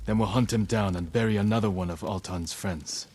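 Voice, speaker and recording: An actor playing a stern, loyal samurai in a dramatic video game, speaking in a solemn, measured, resolute way. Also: male voice, lowish voice, a little mellow, natural voice. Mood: determined. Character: stern, loyal samurai man bent on justice. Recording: music behind the voice.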